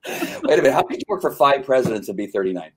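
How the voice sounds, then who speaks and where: A man's voice: medium pitch, sing-song, fast, breathy edge. A man hosting a casual livestream chat, speaking in an earnest, playful and hesitant way. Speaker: host.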